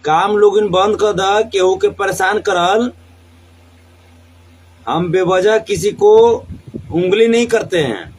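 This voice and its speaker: Male, high voice, Bhojpuri accent; defiant, confrontational live-rant delivery with emphatic repetition. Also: slow pace, long pauses, a little hiss or hum, rough recording. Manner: combative, defiant, swearing, repeats phrases for emphasis. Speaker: singer. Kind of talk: angry live social media rant.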